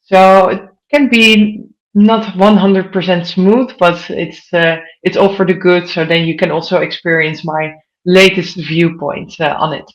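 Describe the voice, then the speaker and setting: A woman's voice: lowish voice, steady tone, measured pace, rough recording. A woman in her thirties from the Netherlands with a Dutch accent, giving an online conference presentation and putting questions directly to her audience. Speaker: conference speaker.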